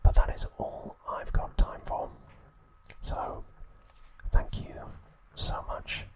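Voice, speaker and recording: A man hosting ASMR, whispering in a breathy, unvoiced voice with gentle, deliberate phrasing. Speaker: ASMR channel host. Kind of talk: whispered ASMR narration and trivia.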